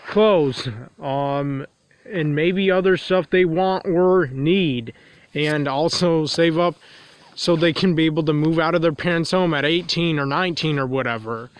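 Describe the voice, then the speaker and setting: A man's voice: a little high, measured pace, clean tone, no room sound. A man with a nasal, congested voice, talking outdoors in the cold in a rambling vlog monologue that keeps circling back to restate itself. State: congested from a runny nose. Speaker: vlogger.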